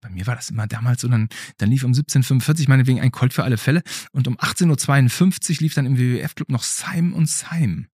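A man's voice: mid-range voice, fast talker, hardly any pauses, warm tone, slightly rough, dry recording, clean studio sound. A middle-aged man chats casually as a podcast host, asking questions in a playful, inquisitive way. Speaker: podcast host interviewing a child. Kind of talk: casual interview chat.

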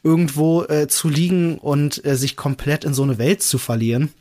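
Guy podcast cohost, slightly high voice, rambly casual chat, lots of side notes. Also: normal speed, darkish tone. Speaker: podcast co-host.

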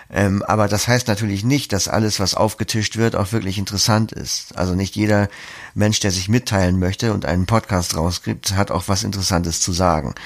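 A man's voice: lowish voice, vocal fry. A man giving articulate, reflective, explanatory answers as an interview guest.

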